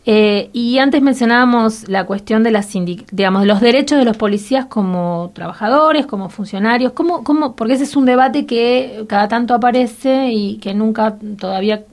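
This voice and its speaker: A woman's voice: on the high side, moderate pace, hardly any pauses, darkish tone. Rioplatense lady radio host, chatty interview style, kinda feels her way through the question. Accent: Rioplatense Spanish.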